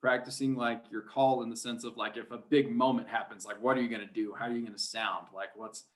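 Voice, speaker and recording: A man chats casually as an interview guest with a medium-pitched voice. He rambles and hesitates, circling back over his words like a sports announcer off the clock. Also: little pitch movement, fast. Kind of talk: casual podcast interview chat.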